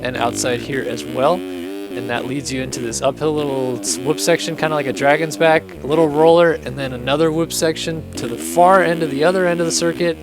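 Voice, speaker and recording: Young guy w a hoarse raspy voice, lots of fry, chill chatty walkthrough, bit of music faint behind. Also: fairly high pitch, fairly quick. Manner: casual, chatty, apologetic, upbeat. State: hoarse, raspy from losing his voice. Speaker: host. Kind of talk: casual game track walkthrough commentary.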